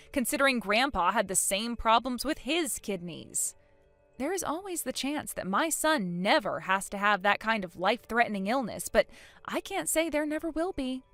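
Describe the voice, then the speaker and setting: A woman's voice: fairly high pitch, animated, moderate pace, fairly bright tone, no room sound, soft background music. A woman playing a protective, outspoken mother in a melodramatic audio story, speaking earnestly and with emphasis. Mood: cold, scornful. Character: fiercely protective, outspoken, emotional mother of a young son.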